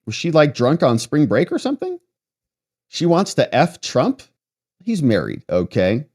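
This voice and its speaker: A man's voice: fairly high pitch, huge pitch swings, unhurried, a little mellow, husky. An American man hosting political commentary, reading articles aloud and breaking in with sarcastic, mocking asides.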